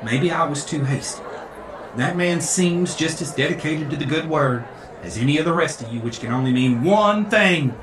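A zealous, pompous revival preacher, acted by a man, preaching with lecherous relish in a theatrical sermon. His slightly high voice rings through a roomy space over a noisy crowd. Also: normal speed, recorded from a distance, close to an everyday voice. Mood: suspicious, fervent. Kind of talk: theatrical fire-and-brimstone sermon.

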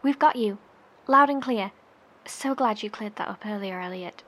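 An actress playing a friendly, concerned survivor at a bunker radio in a horror audio drama. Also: female voice, mid-range voice, moderate pace, a bit bright, close to an everyday voice. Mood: concerned, relieved. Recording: faint noisy ambience.

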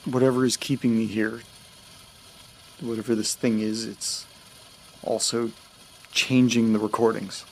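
An actor playing an anxious, bewildered man in an audio drama, speaking hesitantly and stammering over car engine rumble. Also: little pitch movement, measured pace, long pauses. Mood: earnest, troubled. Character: anxious, bewildered man recounting strange memory loss. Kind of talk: naturalistic audio drama acting.

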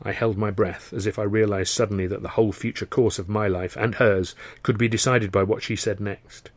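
Low-pitched man narrating, very dry. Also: fairly even intonation, a little fast, no echo. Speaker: author and audiobook narrator. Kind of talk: scripted first-person novel narration.

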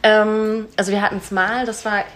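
A woman's voice: mid-range voice, level tone, normal speed, fairly bright tone. A young woman, an actress, chatting casually in an interview with informal, eager, agreeable replies. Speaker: stage actress being interviewed. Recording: noticeable background noise on location.

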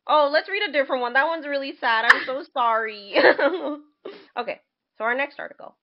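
A woman podcast co-host with very lively, swinging intonation. She chats casually and reads letters aloud expressively. The sound is a little dull and lo-fi. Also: high voice, moderate pace, a little hiss or hum. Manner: expressive, reacts to what is read.

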